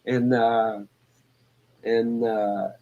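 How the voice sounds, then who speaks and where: A man in his forties calling in to a talk show, speaking in a halting way with many restarts and fillers. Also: medium pitch, little pitch movement, warm tone, lo-fi sound. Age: 40s. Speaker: caller. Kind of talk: casual call-in chat.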